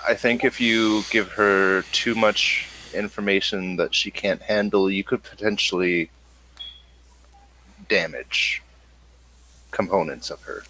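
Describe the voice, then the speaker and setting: A man voicing a calm, formal android who speaks in deliberate phrases in a tabletop game. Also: on the low side, a little hiss or hum, poor audio. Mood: measured, clinical. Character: measured, formal male android advising calmly.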